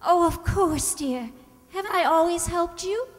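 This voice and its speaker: A woman's voice: very high voice, unhurried. A woman playing a concerned older sister in a radio mystery play, coaxing and placating as she talks. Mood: alarmed, placating. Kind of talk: old-time radio mystery acting. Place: stage in a community hall, stand microphone. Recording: echoey hall from stage.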